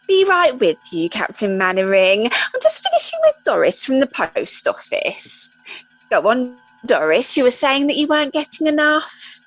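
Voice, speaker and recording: A woman acts a saucy, gossipy ship's officer in a radio comedy. The voice is highish and hugely animated, husky, in a dry recording. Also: normal speed, dry recording, soft background music, heavily acted. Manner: chatty, indignant, innuendo-laden. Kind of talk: comic radio play acting. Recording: dull lo-fi close recording.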